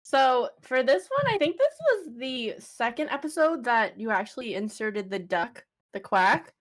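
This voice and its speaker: A woman with a slightly high voice co-hosts a loose podcast. She tells little stories in a chatty, giggly way. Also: big pitch swings, a little slow. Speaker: podcast co-host. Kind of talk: casual chat.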